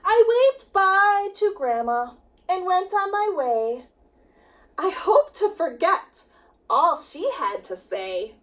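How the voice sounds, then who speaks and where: A woman with a very high voice reads a children's picture book. Her delivery is slow and sing-song, with lively swings in pitch and emphatic, drawn-out words. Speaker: children's ministry director reading storytime.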